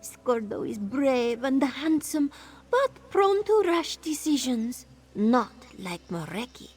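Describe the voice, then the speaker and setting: A woman's voice: sits high, very animated, slow pace, a little mellow, husky, exaggerated voice. A coy, flirty cat-folk woman. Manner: purring, coy and teasing. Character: flirty female cat-folk wanderer, playful, speaks of herself in third person. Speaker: game character. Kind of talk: video game voice acting.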